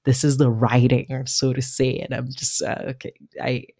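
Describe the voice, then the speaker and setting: Thoughtful Nigerian-raised woman, halting speech. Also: a bass voice, level tone, gravelly, warm tone, no room sound, studio quality. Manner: thoughtful, halting, many restarts and fillers. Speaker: author, guest. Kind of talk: reflective conversational interview.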